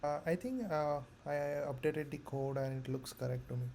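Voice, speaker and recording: A man thinking aloud haltingly as a candidate in a mock coding interview. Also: fairly high pitch, steady tone, vocal fry, mellow, a little hiss or hum. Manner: hesitant, halting.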